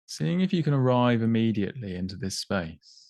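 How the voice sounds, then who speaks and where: A man guiding a body scan meditation in an unhurried, gently instructive way, with long pauses between short phrases. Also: mid-range voice, sing-song, no room sound. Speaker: meditation guide.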